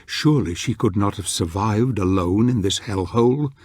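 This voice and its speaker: Deep, husky, grave fantasy narrator. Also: male voice, a little mellow, no room sound, clean studio sound. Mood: wondering, ominous. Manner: portentous, measured, theatrical. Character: grave older male narrator, brooding storyteller of a dark fantasy. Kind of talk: scripted dramatic narration.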